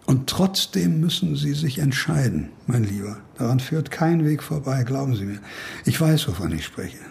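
Older sick professor in a radio play, gravelly, very animated, rambling and brooding. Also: male voice, medium pitch, slight background noise. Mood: insistent. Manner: rambling, emphatic monologuing with musing pauses. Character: ailing older professor, brooding, cantankerous, philosophizing. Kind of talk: radio drama dialogue.

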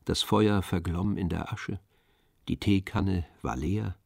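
A man narrating a literary audio drama in measured, polished storytelling phrases. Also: low voice, gravelly, no echo, clean studio sound.

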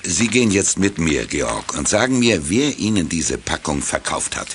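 A low, strongly inflected voice of authority: a middle-aged chief inspector in a children's audio drama. He speaks in a measured, orderly, official manner, with faint noise on the recording. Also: very animated. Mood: businesslike.

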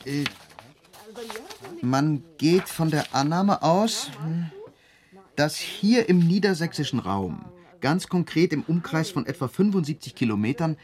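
A man playing an officious, hesitant police subordinate in a radio drama, speaking formally and haltingly. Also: a little high, darkish tone, lightly acted.

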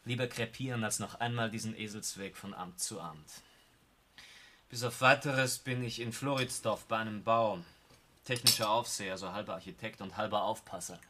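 An actor playing an embittered, proud war veteran in a radio play, with an Austrian accent and an emphatic, insistent delivery. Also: male voice, fairly low pitch, fairly even intonation, moderate pace, sharp tone. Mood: bitter, defiant. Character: embittered, proud war veteran turned building-site supervisor. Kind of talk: German radio play acting. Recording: noisy old radio recording.

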